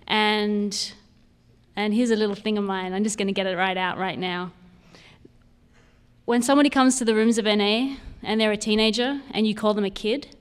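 Woman, thirties, Australian accent with slight Canadian blend, clear smooth voice, mid pitch, candid, conversational, informal testimony. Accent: Australian with a hint of Canadian. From Sydney, Australia. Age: 30s. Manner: candid, conversational, lightly humorous. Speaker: guest speaker at a recovery convention. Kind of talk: informal personal testimony to an audience.

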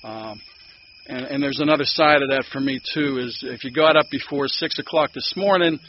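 An older man with a Pittsburgh accent sharing his story at a recovery meeting, rambling and halting with many fillers and restarts. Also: mid-range voice. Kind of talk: personal sharing at a support meeting. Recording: dull lo-fi recording with background noise.

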